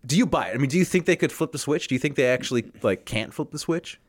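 A man in casual sports chat, animated, laughing often and acting out quotes. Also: mid-range voice, quick pace.

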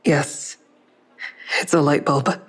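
A woman's voice: low-pitched, steady tone, takes it slowly, slightly rough, full character voice. A woman playing an anxious but determined young amateur sleuth in a naturalistic audio drama. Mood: uneasy, defensive. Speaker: lead character and first-person narrator.

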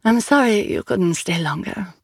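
Narrator doing a grateful woman, sincere, gentle, slightly gravelly, dry sound. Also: medium pitch, animated, moderate pace, no room sound, pitched above the performer's own voice. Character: grateful woman host, warm.

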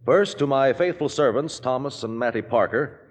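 An actor playing a smooth, controlling lawyer in a 1940s radio drama, speaking in a measured, formal and persuasive way. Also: male voice, mid-range voice, lively intonation, natural voice. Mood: dry, ceremonious. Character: smooth, controlling, scheming lawyer, adult man. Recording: vintage radio, long reverberant tail.

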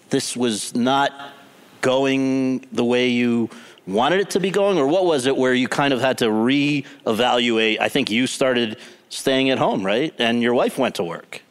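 A man's voice: mid-range voice, smooth. A man interviewing an actor on stage before an audience, asking long, rambling questions with restarts. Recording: long hall reverb.

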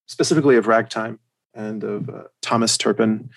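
Creaky-voiced American man, halting delivery. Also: lowish voice, fairly even intonation. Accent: general American. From United States and Germany. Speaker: podcast guest. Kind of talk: casual chat.

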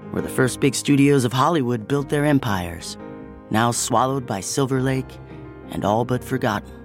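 A man playing a wistful narrator, reading literary audio drama narration in a calm, measured, lyrical way. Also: medium pitch, lightly acted. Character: calm, wistful omniscient narrator of a city story.

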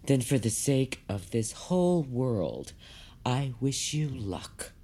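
An actress playing a stern, wry, unyielding female mentor in an audio drama, with a cutting, measured manner. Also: very deep voice, very animated, dark tone, breathy edge, full character voice. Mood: stern, disappointed. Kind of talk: audio fiction drama acting.

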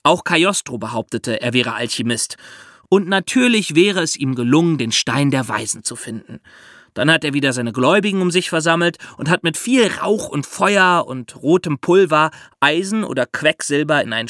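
Man storytelling, slightly high voice, dry studio. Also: animated, normal speed, fairly bright tone, no room sound. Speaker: podcast host and storyteller. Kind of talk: lively explanatory storytelling for children.

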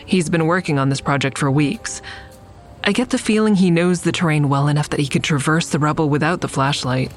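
A woman's voice: sits low, fairly quick, close to an everyday voice. An actress playing a curious young woman who narrates her story in an intimate, wry way in an audio drama. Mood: intimate narration. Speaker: narrator and lead character. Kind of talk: audio drama first-person narration and natural dialogue. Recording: faint music behind the voice.

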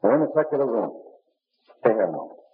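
Casting: man acting assured, courteous male conspirator, old-time radio drama style, measured delivery, dulled vintage sound. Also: mid-range voice, sing-song, close to an everyday voice. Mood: reassuring. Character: smooth, calculating male conspirator posing as a helpful friend. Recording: muffled, noisy old radio recording.

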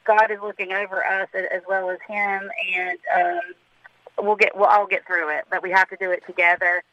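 A man's voice: high-pitched, little pitch movement, moderate pace, pushed and bright, no room sound. A man in his fifties with a Southern accent, calling a radio show to earnestly explain his hospital work. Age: 50s. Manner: earnest, admiring, explains medical terms plainly. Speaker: caller, cardiac surgery worker. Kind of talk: radio call-in. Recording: muffled phone line.

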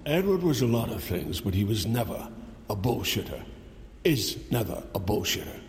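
An older actor playing a blunt, skeptical brother who answers in curt, sardonic questions. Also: male voice, fairly low pitch, very animated, moderate pace, darkish tone, long reverb tail. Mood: wary. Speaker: brother of the astronaut. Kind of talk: audio drama acting.

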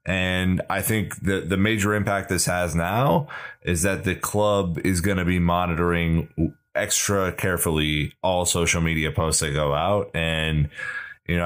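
A man's voice: low voice, a bit bright. A man hosting a football fan podcast, talking in an emphatic, opinionated, conversational way. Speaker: podcast host. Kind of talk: casual fan podcast chat.

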